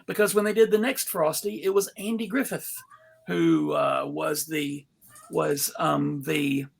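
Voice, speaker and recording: A man with a creaky, gravelly voice emcees a live event at an unhurried pace. He speaks casually and jokingly, halting as he goes, with a touch of showman announcing. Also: on the high side, fairly even intonation. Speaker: host and emcee of a live table read.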